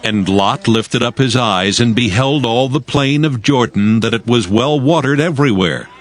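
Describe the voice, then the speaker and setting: A man narrating scripture as a scripted dramatized audio reading, in a solemn, measured storytelling manner. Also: medium pitch. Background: music.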